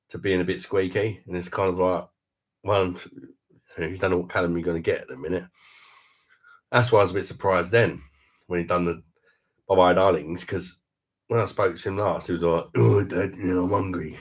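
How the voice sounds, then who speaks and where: A middle-aged man with a very deep voice, hosting a casual beer livestream, reading out viewer comments and chatting in a laid-back, laughing way. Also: steady tone, normal speed. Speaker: beer review livestream host.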